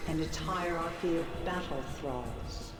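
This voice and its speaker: A solemn storyteller of a future space war, narrating a video game intro. She speaks slowly and gravely, her voice wrapped in echo, with loud music underneath. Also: low voice. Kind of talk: solemn scripted sci-fi narration.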